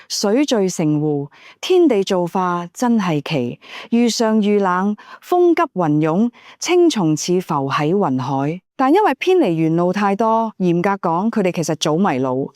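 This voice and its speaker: A woman's voice: fairly high pitch, darkish tone, dry recording, clean studio sound. A woman with a Cantonese accent reading a novel aloud as an audiobook narrator in a scripted storytelling style.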